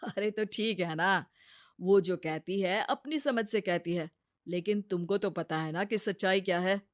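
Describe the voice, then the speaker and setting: A performer voicing a mild, kindly family man in a Hindi cartoon story, soft-spoken and reasoning. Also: mid-range voice, a little slow, dry recording, clean studio sound, lightly acted. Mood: calm reassurance.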